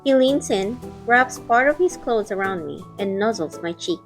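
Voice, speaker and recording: A woman's voice: medium pitch, lively intonation, moderate pace, soft background music. A woman reading scripted first-person narration for a romantic audio drama, also voicing its heroine.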